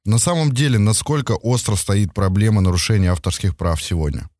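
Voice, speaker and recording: A man's voice: lowish voice, brisk, darkish tone, no room sound, clean studio sound. A man hosting a business podcast, interviewing a guest and hesitating often with filler sounds. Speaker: podcast host.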